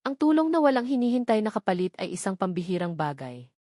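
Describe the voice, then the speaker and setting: A woman's voice: mid-range voice, very animated, fast talker, mellow, no echo. Woman narrator, dry close sound. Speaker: story narrator. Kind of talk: scripted dramatic narration of a love story.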